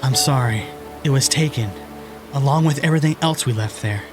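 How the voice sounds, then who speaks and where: Man playing a modest young hero, medium pitch. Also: fairly quick, over music, natural voice. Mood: somber, apologetic. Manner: modest, earnest. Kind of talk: audio drama acting.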